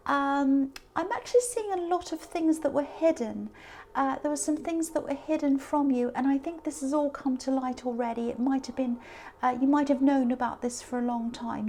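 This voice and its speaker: A woman with a hoarse, sore voice giving a casual tarot reading, chatty and meandering as she speaks straight to the viewer. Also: high-pitched, dark tone, a little hiss or hum. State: hoarse, sore voice from talking a lot. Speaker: tarot reader.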